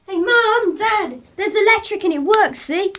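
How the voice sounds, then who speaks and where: A very high, animated voice acted out as a young boy in a radio play. He is eager and full of questions, with pitch that jumps about freely. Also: measured pace, heavily acted. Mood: excited. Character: eager, inquisitive young boy, son of the family.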